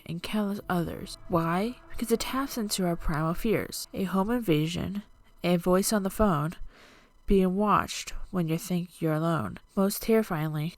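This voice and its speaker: A woman narrating scripted true-crime and urban legend stories as a video host, in a measured, dramatic storytelling manner. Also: lowish voice, a little mellow. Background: music.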